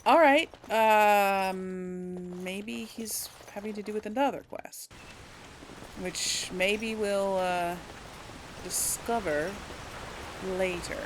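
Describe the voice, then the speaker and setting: A woman's voice: mid-range voice, background noise. A woman hosting a casual let's play, musing aloud to herself and the audience as she plays. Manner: musing, talks to herself while playing. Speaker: gaming video host.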